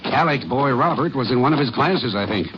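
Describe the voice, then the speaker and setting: An actor playing a businesslike country sheriff in a 1950s radio drama. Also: male voice, mid-range voice, expressive, a little fast, highs cut off, close to an everyday voice. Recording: hissy old radio broadcast.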